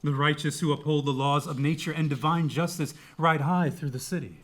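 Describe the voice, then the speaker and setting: A man reading as a citizen in the chorus of a Greek tragedy. The voice is slightly high for a man, with measured, earnest and solemn delivery. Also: fairly even intonation, natural voice. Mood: solemn, reverent.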